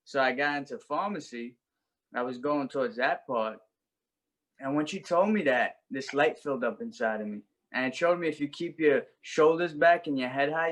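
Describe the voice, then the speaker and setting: A man's voice: medium pitch, fairly bright tone. A young man, telling his life story as a podcast guest in an earnest, reflective way. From Brooklyn, New York. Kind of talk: personal story in an interview.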